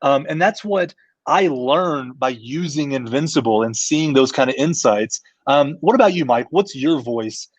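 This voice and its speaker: A man's voice: medium pitch, animated, unhurried, no echo. A man hosting a business live-stream discussion as company CEO, asking questions and walking through a screen share.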